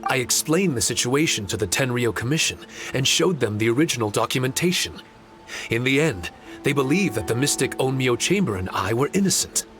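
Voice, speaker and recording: A man's voice: medium pitch, animated, over music, natural voice. Man playing a courteous, composed young swordsman. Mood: composed, earnest. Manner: formal, courteous, measured. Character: polite, earnest young swordsman, formal and composed. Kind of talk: video game voice acting.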